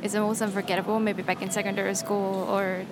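A woman's voice: medium pitch, little pitch movement, fast. A woman interviewing, asking prompting follow-up questions. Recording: noticeable background noise.

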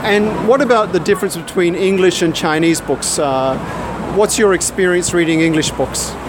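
An interviewer asking calm, plain follow-up questions in a street interview. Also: medium pitch, moderate pace. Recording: traffic noise outdoors.

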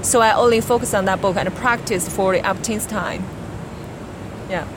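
Young woman, Chinese accent, non-native speaker, hesitant interview answers, steady mid pitch, noisy background. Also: moderate pace. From China. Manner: hesitant, many fillers and restarts. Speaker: interviewee, graduate student. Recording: background noise.

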